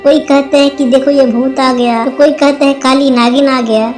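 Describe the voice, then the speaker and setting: High-pitched earnest female cartoon bird woman acting. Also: fairly even intonation, moderate pace, a little mellow, faint music behind, close to an everyday voice. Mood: plaintive. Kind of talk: Hindi cartoon story dialogue.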